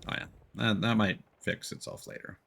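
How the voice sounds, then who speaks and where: A man's voice: fairly low pitch, steady tone, moderate pace, gravelly, sharp tone. A man hosting a casual gaming livestream, rambling and thinking aloud as he plays. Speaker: livestream host playing a game. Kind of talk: casual gaming stream chat.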